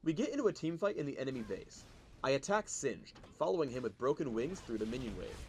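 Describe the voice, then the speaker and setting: A man giving a scripted, measured, instructional narration of video game play as a tutorial. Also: mid-range voice, very animated, a little hiss or hum.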